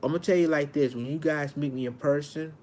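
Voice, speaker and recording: A man in his forties from Arkansas with a high-pitched voice, talking earnestly to his viewers in a casual monologue, often repeating and restarting his phrases. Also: fairly even intonation, fast, a little mellow. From Arkansas, United States. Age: 40s. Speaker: vlogger.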